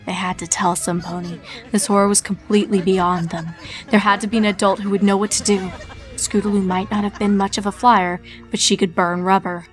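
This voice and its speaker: A woman narrating a horror fanfiction story in a measured storytelling manner. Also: mid-range voice, level tone, soft background music.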